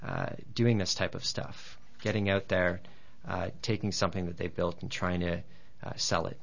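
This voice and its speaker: Low gravelly-voiced man, hesitant. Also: flat delivery, normal speed. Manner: hesitant, many restarts and fillers. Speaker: guest, startup founder. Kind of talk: radio interview. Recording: dull lo-fi radio recording.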